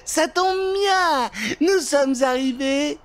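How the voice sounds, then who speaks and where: A man voicing a sly, falsely kind old woman with a sugary, drawn-out delivery in a children's Halloween tale. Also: extremely high pitch, a bit bright, voice pushed up, full character voice. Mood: gloating.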